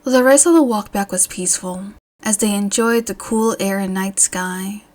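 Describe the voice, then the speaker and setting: A woman's voice: medium pitch, normal speed, a little mellow. A woman reading a scripted story aloud as an audiobook narrator. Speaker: fan fiction audiobook narrator.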